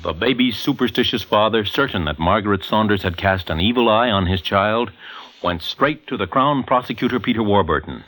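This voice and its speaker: A male narrator in the 1940s radio manner, telling a scripted tale dramatically. His voice is fairly low and moves with lively, wide inflection. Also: fairly quick, rough recording.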